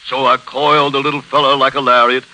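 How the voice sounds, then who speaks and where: An actor playing a boastful, bullying cowboy roughneck with a folksy American Western accent in a 1940s radio comedy. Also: male voice, medium pitch, level tone, fast talker, sharp tone, low-quality recording. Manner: folksy drawling brag, blustering. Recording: vintage 1940s radio broadcast.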